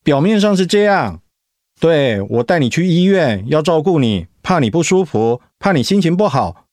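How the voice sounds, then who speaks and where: An actor in a Mandarin radio drama playing a devoted, protective young husband who speaks in a tender, coaxing, sincere way. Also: male voice, fairly high pitch, very animated, moderate pace, darkish tone, no room sound, clean studio sound. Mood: heartfelt.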